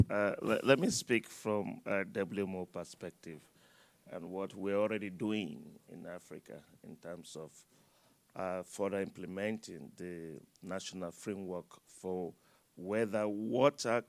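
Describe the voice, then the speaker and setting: A man speaking on a conference panel, loud, halting and full of fillers and restarts, speaking into a microphone. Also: low-pitched.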